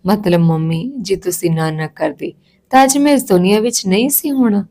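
A woman's voice: mid-range voice, normal speed, mellow, lightly acted. A woman voicing a wounded, stubborn young daughter who directly and insistently questions her mother in a family story. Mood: dawning realisation.

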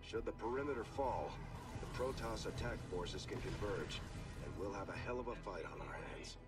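An actor playing a composed, dutiful fleet commander giving formal briefings in a video game. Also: male voice, fairly low pitch, lively intonation, moderate pace, recorded from a distance, natural voice. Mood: composed, urgent. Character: composed, dutiful male military fleet admiral. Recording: loud game music and effects behind.

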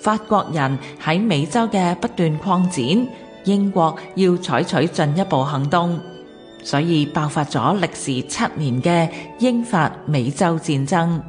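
Low-voiced Hong Kong woman narrating history. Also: lively intonation, measured pace, faint music behind. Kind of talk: scripted documentary narration.

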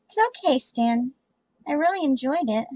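A woman voicing a shy, caring young cartoon girl in an amateur comic dub, gentle and hesitant. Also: high-pitched, moderate pace, no room sound, low-quality recording. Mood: tender.

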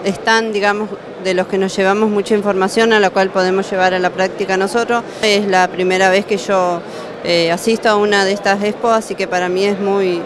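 A man's voice: sits high, level tone, brisk, a crowd in the background. A middle-aged man, a first-time expo visitor in a trade fair interview, speaking plainly with "eh" fillers. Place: indoor exhibition hall. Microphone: handheld interviewer microphone. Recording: news camera at noisy expo.

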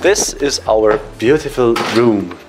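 A man's voice: mid-range voice, lively intonation, takes it slowly, background noise. A young man chatting casually through a travel vlog and unsure how to say the local place names. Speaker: vlogger. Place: inside a car and a small guest room.

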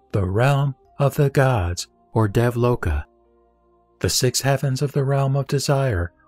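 A man reciting Buddhist scripture from a script in a solemn, measured, formal delivery. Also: mid-range voice, darkish tone, no room sound. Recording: dry voice over background music. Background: music.

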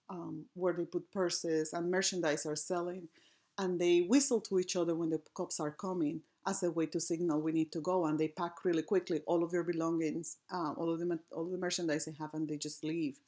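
A woman from gives a reflective presentation over slides, pausing often with ums and restarting her phrases. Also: lowish voice, darkish tone. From Puerto Rico. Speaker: design lecturer.

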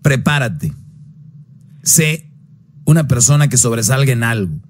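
A man hosts a radio advice call-in. His voice sits at an ordinary middle pitch. He talks bluntly and preachily, jumping his pitch up sharply whenever he drives a point home. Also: lively intonation, unhurried, a little hiss or hum.

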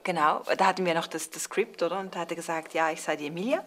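A woman's voice: fairly low pitch, fast talker. A young woman, an actor being interviewed, who recounts events in a chatty way. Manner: chatty storytelling with laughs. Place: indoor theatre space with brick wall.

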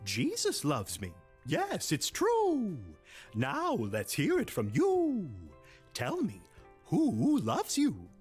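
Kindly cartoon owl: unhurried hooting singsong, wildly swooping pitch, for a children's show. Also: huge pitch swings, husky, faint music behind, heavily acted. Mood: cheerful, teaching. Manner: singsong, rhyming, deliberate. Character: kindly wise owl storyteller named Ollie, hooting, singsong. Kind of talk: children's Bible show character acting.

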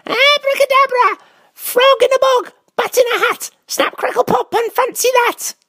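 A woman's voice: very high-pitched, unhurried, fairly bright tone, voice pushed up, full character voice. A woman voicing a cheerful storybook witch, theatrical and sing-song. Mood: gleeful chanting.

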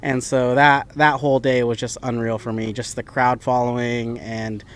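A man's voice: mid-range voice, moderate pace, clean tone. Easygoing male caddie, loose rambling answers. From Philippines. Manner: relaxed, talks in loose run-on phrases with fillers. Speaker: PGA Tour caddie, guest. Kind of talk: casual interview answers.

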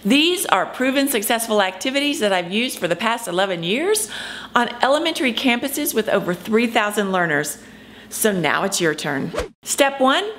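A woman's voice: fairly high pitch, a bit bright, a little hiss or hum. A woman in her fifties presenting a scripted instructional video as a drama teacher, speaking emphatically to camera. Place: indoor room at home.

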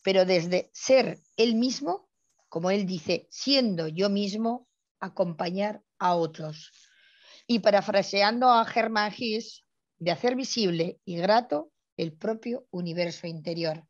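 A woman's voice: medium pitch, takes it slowly. A middle-aged woman introducing a speaker in an effusive, emphatic way with rhetorical asides, partly reading out. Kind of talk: introduction speech, partly read out. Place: indoor room, on a video call. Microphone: earphone headset on a video call. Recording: dull lo-fi video call sound.

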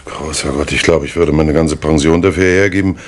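A gruff police inspector in an old radio whodunit. His voice sits low, and he questions bluntly and pedantically, with short patience and open exasperation. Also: fairly even intonation, brisk. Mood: businesslike, puzzled. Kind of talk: 1960s German radio crime play acting.

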